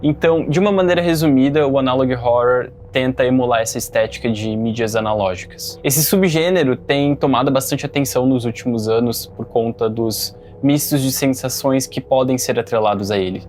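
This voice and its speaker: A man's voice: medium pitch, fairly quick, hardly any pauses, a little mellow, faint music behind. A Brazilian man hosting and narrating an informative scripted video essay. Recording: faint background noise.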